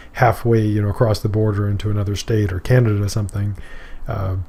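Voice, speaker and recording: A middle-aged man from Maine lectures on philosophy at a mid pitch. He talks in a loose, conversational way and stops for examples, fillers and asides. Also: darkish tone. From Maine, USA. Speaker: philosophy professor. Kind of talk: recorded course lecture.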